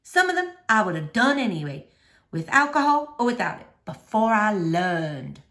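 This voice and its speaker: Woman doing a wise old storybook woman, lively pitch. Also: normal speed. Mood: gently instructing. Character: wise, kindly, plain-spoken old woman. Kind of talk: children's novel read aloud.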